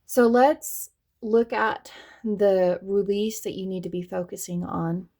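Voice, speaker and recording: A woman's voice: medium pitch, steady tone, takes it slowly, mellow. A woman from the Southern US with a light Southern accent, giving a chatty tarot reading that speaks straight to her viewers. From Southern United States. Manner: chatty, addresses viewers directly, laughs often. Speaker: tarot reader.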